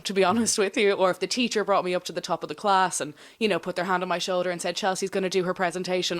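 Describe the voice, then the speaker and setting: A young adult woman, a guest in a casual interview, talking candidly and reflectively about her own experiences. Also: on the low side.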